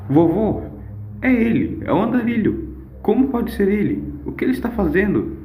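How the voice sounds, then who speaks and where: A man's voice: high-pitched, big pitch swings, normal speed, mellow, slight background noise, pitched above the performer's own voice. A man voicing an earnest young granddaughter in an audio story, pleading in a raised, urgent voice. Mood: astonished. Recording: echoing voice over music.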